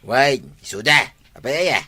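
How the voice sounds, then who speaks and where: A man's voice: medium pitch, expressive, moderate pace, pushed and bright, slightly rough, exaggerated voice. A man with an Ilocano Filipino accent playing a questioning village man in a comedy drama.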